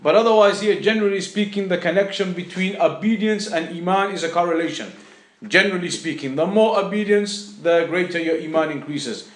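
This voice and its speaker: High-pitched male religious teacher, echoey room. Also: a little fast. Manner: didactic, repeats phrases for emphasis. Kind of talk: explanatory lesson, spoken off the cuff.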